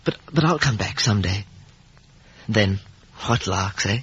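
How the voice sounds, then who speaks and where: Earnest regretful gentleman, husky, literary radio drama narration, muffled old recording. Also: on the low side, lively intonation, exaggerated voice. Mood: troubled, resolute. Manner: literary, reflective, halting with emotion. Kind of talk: 1950s radio drama acting.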